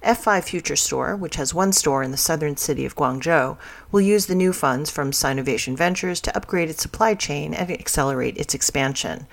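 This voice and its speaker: Low-pitched woman reading news. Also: moderate pace, vocal fry, few pauses. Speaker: news story reader. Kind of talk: scripted news narration.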